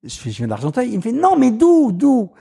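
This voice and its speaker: A man with a Paris suburban accent tells anecdotes in an easygoing chat. His voice is mellow and dark. He acts out other people's lines and laughs along as he goes. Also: extremely high pitch, fairly quick, gravelly, slightly rough. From Paris suburbs, France. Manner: animated, mimics voices in anecdotes, laughs often. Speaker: guest. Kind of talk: casual storytelling.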